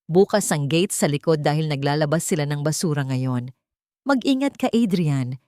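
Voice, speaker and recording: Elderly motherly housekeeper played by a female narrator, trembling but brave, urgent, lively pitch, for an audio love story. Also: normal speed, dry recording, clean studio sound. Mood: brave, caring. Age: older. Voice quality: trembling with fear. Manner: urgent hushed confiding.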